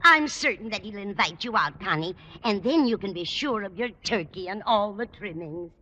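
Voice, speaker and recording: A woman's voice: medium pitch, expressive, moderate pace, pushed and bright, highs cut off. An actress playing a kindly, scatterbrained, chatty landlady in a 1950s radio sitcom. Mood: eager, curious.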